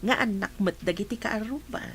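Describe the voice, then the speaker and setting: A woman's voice: mid-range voice, brisk, fairly bright tone, natural voice. A woman acting as a caring mother and wife in a radio play, polite and grateful. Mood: calm.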